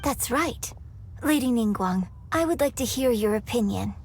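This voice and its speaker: Young opera star in a game. High, gentle, courteous, faint ambience behind. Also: female voice, expressive, moderate pace, a little hiss or hum. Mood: grateful, respectful. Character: courteous, graceful young female opera performer. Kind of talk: scripted video game voice acting.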